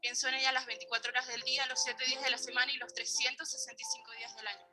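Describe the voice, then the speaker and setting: A woman's voice: a little high, little pitch movement, very fast. A woman magician from Venezuela telling her own story on stage, stating exact figures with care. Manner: precise, counting out exact figures. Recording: played clip with music behind. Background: music.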